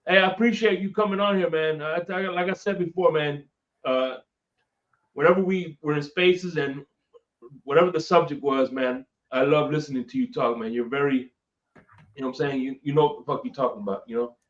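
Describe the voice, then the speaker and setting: Laid-back podcast host with an echoey mic. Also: male voice, fairly high pitch, brisk. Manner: enthusiastic, laid-back slang. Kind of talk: casual podcast chat. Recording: echoey, distant, dull home mic.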